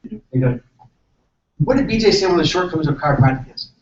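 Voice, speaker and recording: A man's voice: a little high, animated, quick pace. A man from San Francisco, a chiropractor, giving a casual, self-deprecating seminar talk and asking the audience questions. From San Francisco, California. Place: seminar hall. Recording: echoey hall, distant mic, dull sound, faint noise.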